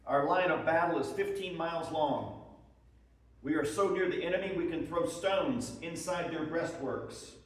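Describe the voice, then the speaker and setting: A man giving a dramatic reading as a proud, weary Civil War soldier, in measured short phrases, writing letters home. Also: a little high, close to an everyday voice. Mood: matter-of-fact, confident. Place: echoey room at a live presentation. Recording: echoey hall, far from the microphone.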